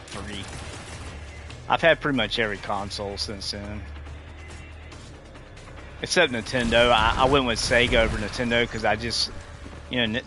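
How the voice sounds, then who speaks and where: A man in his forties chatting casually with his viewers while gaming, rambling and restarting his sentences. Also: fairly low pitch. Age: 40s. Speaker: livestreamer talking to chat. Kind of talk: casual chat while playing.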